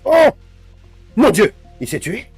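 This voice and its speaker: A man's voice: extremely high pitch, sing-song, takes it slowly, darkish tone, full character voice. A man voicing a doddery old parish priest in a game role-play, mock-solemn and theatrical, swearing in the middle of formal blessings. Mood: flustered, exclaiming. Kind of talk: improvised online role-play in a video game. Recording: music playing behind the voice.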